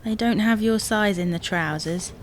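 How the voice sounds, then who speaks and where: A woman's voice: mid-range voice, slight background noise, natural voice. Bossy, exasperated wife, played by a woman. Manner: brisk, dismissive, commanding. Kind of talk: domestic comedy audio drama acting.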